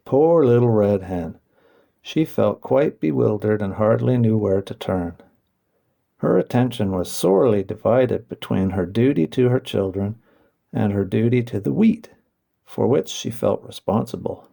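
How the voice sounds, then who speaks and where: A man reads a children's story as an audiobook narrator. His voice is very creaky and gravelly, with a soft, dark tone. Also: lowish voice, animated, a little slow.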